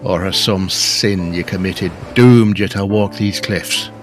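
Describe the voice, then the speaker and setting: A man's voice: deep voice, takes it slowly, faint music behind. Formal, fatherly village priest played by a man. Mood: solemn, solicitous. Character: village priest, pious, confident, solicitous ghost investigator. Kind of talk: audio drama dialogue.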